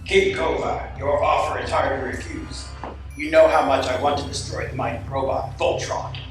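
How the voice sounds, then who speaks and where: A man playing a calculating, commanding alien tyrant king in a cartoon radio play, speaking in a measured, imperious way. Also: mid-range voice, steady tone, faint music behind, lightly acted. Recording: echoey live hall, far from mic.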